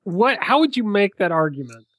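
A man's voice: high voice, big pitch swings, normal speed, a bit bright, dry recording. A young man co-hosting a casual podcast chat with dry, teasing remarks. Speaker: podcast co-host.

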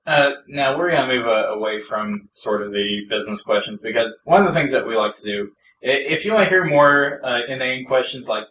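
A man's voice: medium pitch, fairly quick, pushed and bright, distant mic. A man interviewing a guest in a casual, playful way with teasing jokes. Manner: playful, joking. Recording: echoey, off-mic, faint noise.

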